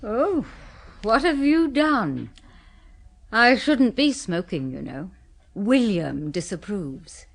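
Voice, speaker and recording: A long-suffering wife in a radio play. She is nervy and resentful, and her mid-pitched voice moves up and down in animated swings. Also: takes it slowly, vocal fry, full character voice. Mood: anxious, confiding. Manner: nervy, apologetic, flaring into exasperation. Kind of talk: 1970s British radio drama acting.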